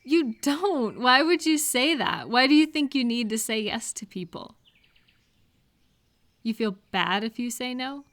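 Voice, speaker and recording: A woman playing a kind, caring friend in a comfort roleplay audio, speaking gently and reassuringly with soft questions. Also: a little high, expressive, a little slow. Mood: gently firm. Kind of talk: comfort audio roleplay, one-sided conversation.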